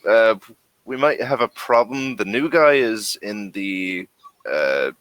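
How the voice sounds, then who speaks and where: Man playing a hesitant, uneasy lab worker, low-voiced. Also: animated, slow, a bit bright, no echo. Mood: worried, hesitant. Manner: hesitant, stumbling. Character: uneasy lab-coated worker reporting trouble.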